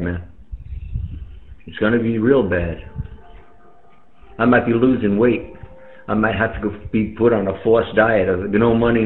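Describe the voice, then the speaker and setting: A man giving a casual, opinionated monologue over the radio, colloquial and emphatic, often repeating himself. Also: on the low side. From United States. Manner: colloquial, emphatic, repetitive, mildly profane. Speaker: amateur radio operator chatting with friends. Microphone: radio microphone over internet link. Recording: tinny phone-like radio link, roomy echo.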